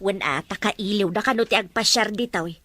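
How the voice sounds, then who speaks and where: A woman's voice: medium pitch, fairly quick, pushed and bright, no room sound. A woman with an Ilocano accent acting a concerned, fretting mother in a family drama. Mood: reproachful.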